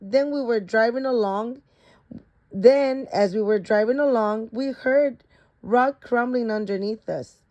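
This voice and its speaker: A woman's voice: a little high, a little slow, a little mellow, dull audio. A woman reading a children's science storybook aloud, stopping now and then to repeat or correct words. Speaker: reader of a children's picture book.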